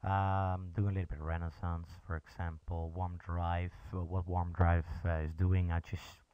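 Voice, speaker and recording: A man with a low voice and a Dutch accent talks through a casual tutorial. He is laid-back and hesitant, rambling from point to point. The recording is dull and lo-fi, like a simple home setup. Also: flat delivery, moderate pace, clear, resonant tone, dry recording. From Netherlands (Amsterdam). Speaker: music production tutorial host. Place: indoors at a computer, voice over screen recording.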